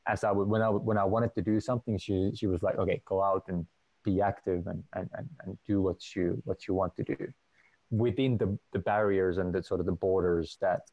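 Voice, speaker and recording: A man in his thirties who grew up in Finland, a guest talking on a video call at home, halting with many restarts. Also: low voice, a little mellow, no echo, highs cut off. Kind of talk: casual video call chat. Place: home room.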